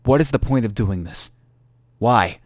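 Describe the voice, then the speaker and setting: A man's voice: medium pitch, lively intonation, a little slow, dry recording, clean studio sound, close to an everyday voice. A man playing a paranoid, frightened young man in a creepypasta dramatic reading, telling his diary with anguished drama. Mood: angry, demanding. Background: music.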